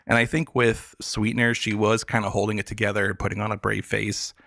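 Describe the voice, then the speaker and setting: A man with a fairly low voice talks casually on an arts podcast. He explains haltingly, full of filler words, and the sound is dry and close. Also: flat delivery, creaky, a bit bright, no room sound. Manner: hesitant, filler-heavy explaining. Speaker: podcast guest reviewing pop albums. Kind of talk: casual arts talk chat.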